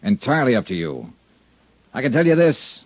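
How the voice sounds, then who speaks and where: Tough brisk man, old radio drama. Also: medium pitch, huge pitch swings, darkish tone, dry recording. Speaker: insurance investigator lead in a radio drama. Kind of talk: 1950s radio drama acting and narration. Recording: dull old radio recording.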